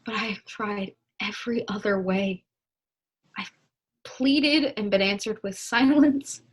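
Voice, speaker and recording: Young woman acting an ardent, husky, impatient lover. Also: medium pitch, takes it slowly. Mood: pleading, impassioned. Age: young adult. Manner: declamatory, impassioned. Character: ardent, impatient lover, eloquently scolding and pleading. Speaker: student actor. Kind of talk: stage acting in a one-act period melodrama.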